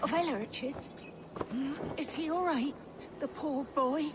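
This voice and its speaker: A woman's voice: sits high, slow pace, noisy background. An actress playing a tough, plain-spoken medieval peasant woman, earthy and heartfelt, in a video game cutscene. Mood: pained, wary. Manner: earthy, heartfelt, archaic phrasing.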